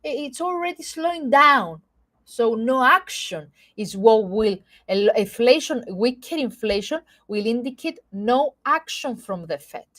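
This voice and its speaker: Greek Cypriot woman analyst, halting. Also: on the high side, lively intonation, fairly bright tone. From Cyprus. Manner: halting, many fillers and restarts; checks in with "okay?" often. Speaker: market analyst. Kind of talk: live market webinar.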